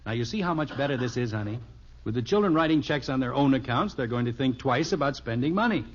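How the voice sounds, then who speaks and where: Genial American sitcom dad, male actor, middle-aged, mock-formal and patient, very animated delivery, muffled 1950s radio sound. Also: medium pitch, quick pace, natural voice. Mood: easygoing. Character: genial middle-aged father playing banker to his children.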